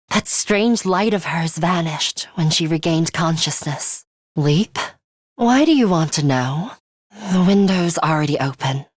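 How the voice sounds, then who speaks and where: A woman voicing a composed, battle-hardened young woman in an English video game, speaking in a deliberate, matter-of-fact way. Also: lowish voice, no room sound, clean studio sound. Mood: gentle, subdued. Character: young woman, composed battle-hardened time-looper, coolly urgent ally.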